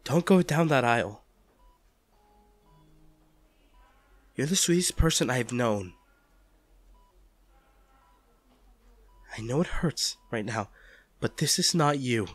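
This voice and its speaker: A male actor plays a devoted, protective partner in an intimate audio roleplay. He has a medium-pitched voice with a tender, reassuring, pleading way of talking to an injured loved one. The sound is dry, with no room around it. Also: lively intonation, moderate pace, no echo, natural voice. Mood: soothing, concerned.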